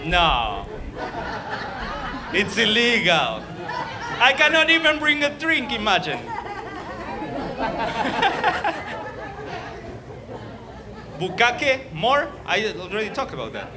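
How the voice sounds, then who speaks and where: A man with an Argentinian accent works a stand-up set in a comedy club, his fairly high voice swinging widely up and down. His jokes ramble and stay crude and self-mocking. A loud crowd reacts all around him. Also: wildly swooping pitch, drawn-out words, pauses often, noisy background.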